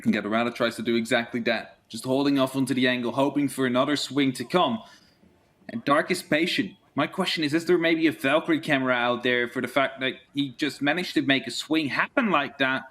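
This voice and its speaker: A man's voice: mid-range voice, brisk, a bit bright. A man who is a non-native English speaker, giving live esports play-by-play and casual commentary. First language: not English.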